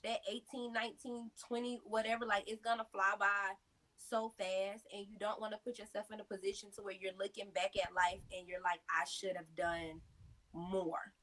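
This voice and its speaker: A woman's voice: mid-range voice, a bit bright, low-quality recording. A woman in her thirties answering interview questions with earnest, motivational advice and lots of fillers. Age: 30s. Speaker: interview guest, mother.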